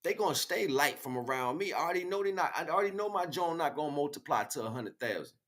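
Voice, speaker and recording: A man's voice: fairly high pitch, animated, quick pace, a bit bright. An American man delivering an impromptu spiritual monologue to listeners, preachy and slangy, repeating phrases for emphasis. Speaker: spiritual livestream host.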